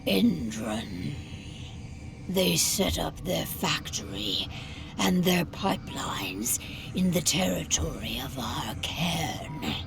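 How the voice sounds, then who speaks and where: A woman playing a proud, vengeful captive werewolf pack leader in a video game, grave and deliberate, in a breathy half-whisper. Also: low-pitched, exaggerated voice. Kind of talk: dramatic video game acting. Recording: music behind the voice.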